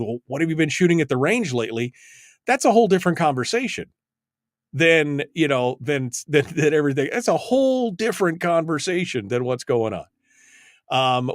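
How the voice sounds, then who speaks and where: A man hosting a call-in talk radio show, talking in a halting way and often repeating words. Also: medium pitch, no echo, studio quality.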